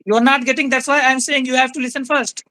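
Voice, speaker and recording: A woman's voice: sits high, steady tone, quick pace, sharp tone, dry recording. A woman explaining language-learning tips in a conversation, firm and repeating her key points. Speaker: English-speaking guest.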